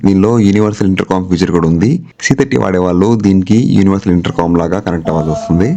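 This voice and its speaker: Quick low-voiced Telugu-accented man. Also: mellow, slight background noise. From India. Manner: direct, mixes English terms into Telugu. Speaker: vlogger. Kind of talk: product review vlog narration.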